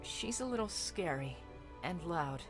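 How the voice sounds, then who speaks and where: A woman's voice: lowish voice, lively intonation, a little slow. Hesitant uneasy young guy, visual novel style, bit of music under it. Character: timid, flustered young man in a mystery game.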